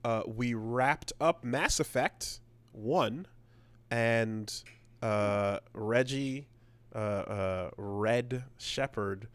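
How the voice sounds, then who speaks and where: A man co-hosting a podcast in casual chat, rambling and animated, swearing freely. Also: mid-range voice, drawn-out words, clean tone. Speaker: podcast co-host.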